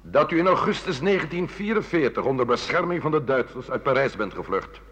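A formal, accusatory man reading out charges in a radio drama. His mid-pitched voice moves with clear emphasis. The sound is dull, like an old broadcast. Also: sing-song, brisk, a bit bright. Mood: formal, accusing. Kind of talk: radio play acting.

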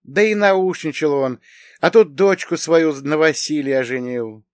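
Imperious middle-aged aunt of noble birth played by a male narrator: raised pitch, haughty, commanding, dry audiobook sound. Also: unhurried, no room sound. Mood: reminiscing. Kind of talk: acted audiobook dialogue.

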